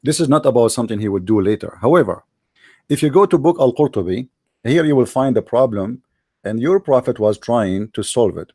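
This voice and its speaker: A man's voice: medium pitch, animated, a little fast, husky, dry recording, clean studio sound. A man with an Arabic accent arguing hard in a religious debate, quoting sources and pressing his opponent. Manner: forceful, argumentative, quotes sources. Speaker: debate host.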